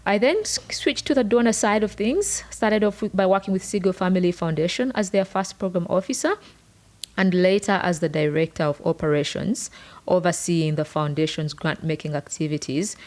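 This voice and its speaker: A woman's voice: fairly low pitch, normal speed, a little mellow. A woman with a Ugandan accent speaking as a podcast co-host in an earnest, articulate, explanatory way. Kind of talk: prepared podcast conversation.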